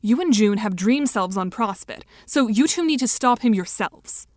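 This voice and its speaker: A woman acts out an articulate teenage girl in an audio drama. Her voice sits at an average female pitch. She is composed yet urgent, speaking briskly and with serious insistence. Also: expressive, a little mellow, no echo, clean studio sound, natural voice. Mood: urgent, insistent.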